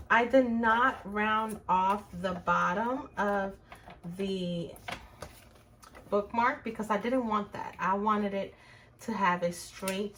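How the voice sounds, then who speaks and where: A woman's voice: medium pitch, a bit bright, a little hiss or hum. A middle-aged woman with a Puerto Rican accent, hosting a casual crafting tutorial and chatting to viewers about her work as she goes. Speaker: crafts channel host.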